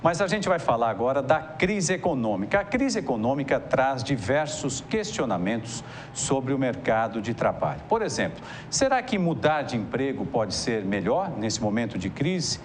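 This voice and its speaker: A Brazilian man hosts a talk-show interview with very animated pitch. He is chatty and effusive as he puts questions to his guest. Clear noise sits in the recording. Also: normal speed, hardly any pauses. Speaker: TV interviewer and host. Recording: clearly noisy TV interview sound.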